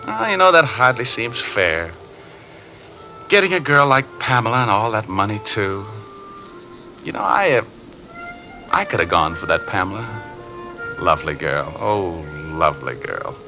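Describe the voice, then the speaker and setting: Low-voiced wry radio detective. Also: male voice, sing-song, a little slow, long pauses, pushed and bright, soft background music, heavily acted, poor audio. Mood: wistful, wry. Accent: American. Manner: wry, conversational, rueful. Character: wry, world-weary male detective recounting a closed case. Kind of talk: 1950s radio detective drama narration.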